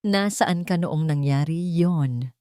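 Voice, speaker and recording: Woman voicing an old Filipino tycoon, cold, curt, commanding, calm menace, audiobook drama, dry sound. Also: low-pitched, takes it slowly, smooth, dry recording. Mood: cold questioning.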